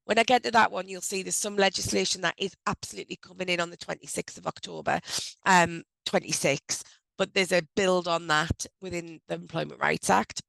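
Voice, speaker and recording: A woman hosting an online HR briefing on a video call, speaking loosely with frequent ums and self-corrections, her voice croaky as she recovers from a cold. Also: lowish voice, fairly even intonation, a little fast, fairly bright tone, no room sound, poor audio. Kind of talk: informal presentation on a video call. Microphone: video call microphone.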